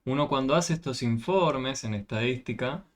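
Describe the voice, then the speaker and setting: A man's voice: medium pitch, moderate pace. A man tutoring a math class over a video call, patiently explaining and often checking with questions.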